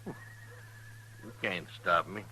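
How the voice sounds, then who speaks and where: A man's voice: deep voice, very slow, pushed and bright, husky, full character voice. An actor playing a surly, curt gunman, a threatening stranger in an old-time radio Western. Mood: defiant, menacing. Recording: noisy vintage radio recording.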